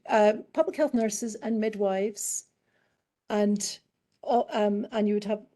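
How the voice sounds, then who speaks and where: Mellow-voiced British woman, thoughtful and halting. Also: medium pitch, creaky. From United Kingdom. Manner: thoughtful, halting, with many restarts and fillers. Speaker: guest expert in public health. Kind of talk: conversational panel answers.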